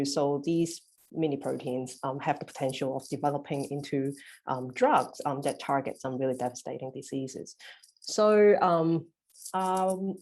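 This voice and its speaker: Brief: female researcher from Hong Kong, thirties, low voice, informal and chatty panel introduction. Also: darkish tone. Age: 30s. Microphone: video call.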